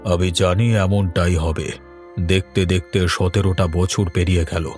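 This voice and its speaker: A man's voice: deep voice, steady tone, unhurried, a little mellow, faint music behind. A man voicing a worried, grave middle-aged father in a horror cartoon. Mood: despairing.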